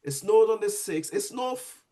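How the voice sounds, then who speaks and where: A man, a nurse guesting on a podcast, telling his story in a lively way with frequent restarts. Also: high voice, normal speed. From Sierra Leone. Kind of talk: casual storytelling interview.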